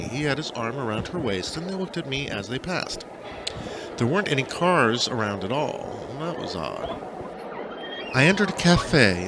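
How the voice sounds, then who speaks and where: A man reading first-person fiction aloud as an audiobook narrator, with an expressive, dramatic delivery. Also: medium pitch, noisy background.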